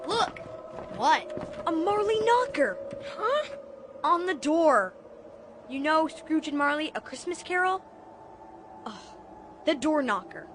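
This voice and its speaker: A woman playing an excitable, wide-eyed adventurous young boy, breathless and exclamatory, in a dramatized audiobook. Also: high-pitched, takes it slowly, long pauses, music in the background, heavily acted. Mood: eager wonder.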